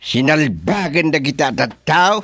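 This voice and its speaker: A man's voice: on the high side, big pitch swings, measured pace, a bit bright, faint music behind. A man acting an aggrieved village husband in a radio comedy drama, complaining defensively with an Ilocano accent.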